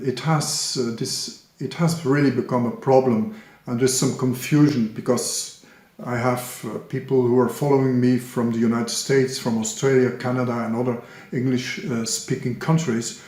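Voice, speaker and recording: A man, a Dutch speaker, making a casual announcement to the viewers of his trading channel, with many pauses and fillers. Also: mid-range voice, darkish tone, reverberant. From Flemish Belgium. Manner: hesitant, frequent "uh" and "um", self-corrections. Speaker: trading YouTuber.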